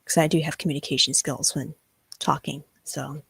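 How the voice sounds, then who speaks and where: A woman's voice: low voice, steady tone, moderate pace, gravelly, a bit bright, husky, no echo. A shy young woman hosting a livestream interview, hesitant with many ums. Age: young adult. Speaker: host. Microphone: video call.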